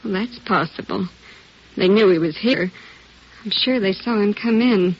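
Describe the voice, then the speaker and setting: A woman's voice: medium pitch, a little slow, husky. An actress playing a polite, talkative, self-assured businesswoman in a 1950s radio drama, with a genteel, earnest manner. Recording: muffled vintage radio recording.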